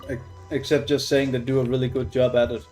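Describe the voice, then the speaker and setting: A man giving casual gameplay commentary, halting and thinking aloud as he goes. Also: mid-range voice, flat delivery, darkish tone, faint music behind. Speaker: game streamer.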